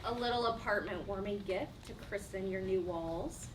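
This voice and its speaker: A woman playing a giddy, gushing 23-year-old in a staged play reading, playful and quick to defend herself. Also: mid-range voice, lightly acted. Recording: distant mic, audible room noise.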